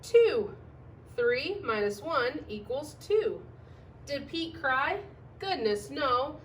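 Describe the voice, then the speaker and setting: High-pitched, very animated woman reading a storybook. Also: slow pace. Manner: expressive, sing-song, emphatic, asking questions of young listeners. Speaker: teacher reading a picture book aloud to young children. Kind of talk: storybook read-aloud with sung refrains. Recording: distant microphone with faint background noise.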